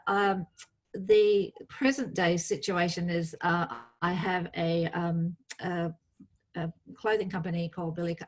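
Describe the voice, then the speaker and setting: Hesitant, modest woman from Australia. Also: on the low side. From outback New South Wales, Australia. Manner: modest, hesitant, many fillers. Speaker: guest. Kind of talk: personal story on a panel call.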